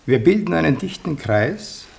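A gravelly man of medium pitch, eager and curious. He lays out his scheme methodically, with lively intonation, in sixties radio drama style. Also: normal speed. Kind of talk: 1960s German radio play acting.

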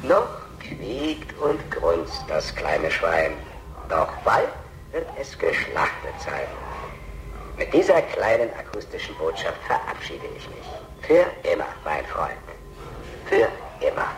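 A man's voice: low-pitched, big pitch swings, takes it slowly, exaggerated voice. An actor playing a sinister man who recites a macabre, theatrical taunt on a taped farewell in a radio drama. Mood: menacing, mocking. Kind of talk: slow sing-song recitation on tape. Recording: echoey, noisy tape playback.

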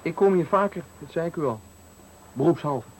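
An actor playing a sly, prying stranger in a radio thriller, speaking in a measured, insinuating way. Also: male voice, fairly high pitch, lively intonation, natural voice. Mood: probing, knowing. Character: prying, sly stranger at a night bus stop. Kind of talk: 1980 Dutch radio thriller acting. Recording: noisy 1980 radio play recording.

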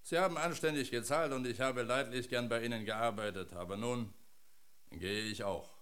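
An actor plays an independent hired man in a radio drama. He talks at a middle pitch, bluntly and firmly, unimpressed by his master. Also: normal speed, fairly bright tone, natural voice. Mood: blunt, resolute. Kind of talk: German radio drama acting.

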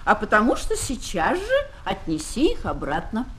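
A woman playing a firm, principled mother in a children's audio drama, speaking in an insistent, expressive way. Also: mid-range voice, moderate pace. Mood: stern, questioning. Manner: expressive, insistent, repeating words. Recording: noisy old 1970s flexi-disc recording.

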